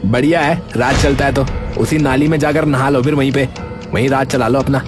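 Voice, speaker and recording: A male actor plays an indignant young village son in a cartoon tale. He complains and stands his ground, thoroughly fed up. Music plays clearly behind the voice. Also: mid-range voice, fairly even intonation, brisk, lightly acted. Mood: defiant. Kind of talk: Hindi cartoon story dialogue.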